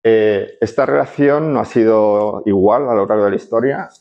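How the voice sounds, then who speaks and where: A man's voice: on the low side, normal speed, vocal fry. A man from Barcelona giving a conference lecture, hesitant, full of fillers and tag questions. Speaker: architect giving a conference talk. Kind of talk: lecture to an audience. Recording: dull lo-fi conference audio.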